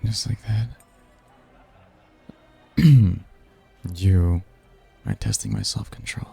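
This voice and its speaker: Guy doing a smooth flirty demon for asmr roleplay, slow husky teasing drawl, really gravelly, swoops around. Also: big pitch swings, dark tone, faint music behind, full character voice. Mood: seductive. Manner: slow, flirtatious, breathy murmurs with low chuckles. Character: smooth-talking seductive male demon, suave, teasing, devilishly charming. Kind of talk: intimate ASMR roleplay acting.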